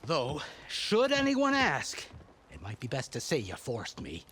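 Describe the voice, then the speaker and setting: An actor playing a nervous, hesitant cable car operator in a video game, stammering and apologetic. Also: male voice, medium pitch, wildly swooping pitch, measured pace, heavy background noise. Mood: flustered, reluctant. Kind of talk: video game cutscene acting.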